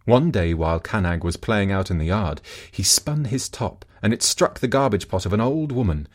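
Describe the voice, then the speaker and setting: A male narrator reads a folk tale from a script. His voice sits fairly low and swings widely in pitch, giving the storytelling a very animated shape. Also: normal speed. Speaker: audiobook narrator.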